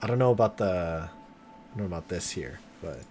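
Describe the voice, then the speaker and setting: Male streamer, lower register, casual and excitable gameplay chatter, swears, game soundtrack underneath. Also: moderate pace. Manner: excitable, slangy, swears freely. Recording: game music behind the voice. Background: video game music and sounds.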